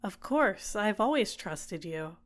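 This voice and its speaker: A woman playing a tenderly possessive, manipulative villain captor in an intimate ASMR roleplay, speaking in a soft, soothing, deliberate way. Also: mid-range voice, lively intonation. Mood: warm, coaxing.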